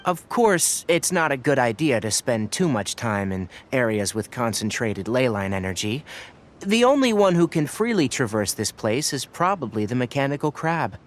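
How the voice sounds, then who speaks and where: An actor playing a calm, scholarly young male researcher in a video game, articulate and measured as he explains. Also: mid-range voice, slight background noise, close to an everyday voice. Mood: calm, analytical.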